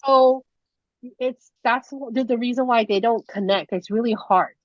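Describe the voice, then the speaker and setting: A woman's voice: on the high side, normal speed, no echo. A young woman from Taiwan whose first language is Mandarin, teaching in a casual, chatty way with lots of fillers and restarts. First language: Mandarin Chinese. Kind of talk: casual conversational lesson. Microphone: video call. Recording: dull lo-fi video call audio.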